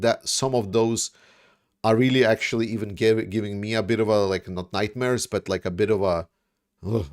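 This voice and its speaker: A man's voice: lowish voice, darkish tone. A man from Latvia, hosting a casual livestream and answering viewer questions in a rambling way, with many fillers and restarts. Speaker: livestream host.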